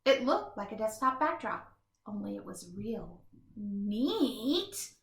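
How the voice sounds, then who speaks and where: A woman reading a children's picture book aloud in an expressive, animated way with dramatic pauses. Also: medium pitch, roomy sound. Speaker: storybook reader.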